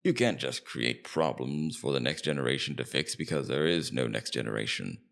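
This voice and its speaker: Man voicing a deep, gravelly, smug Demon King. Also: lively intonation, a bit bright, heavily acted. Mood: cold, persuasive. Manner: theatrical, taunting, drawn-out deliberate emphasis. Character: scheming immortal Demon King, smug, persuasive, coldly calculating villain. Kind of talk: ASMR roleplay, scripted villain monologue.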